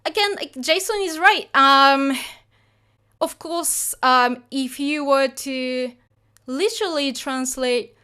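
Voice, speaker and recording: A woman's voice: high-pitched, slow pace, bright, forceful tone. A Japanese woman teaching Japanese in English with a Japanese accent, answering a live chat, hesitant and apologetic with lots of fillers.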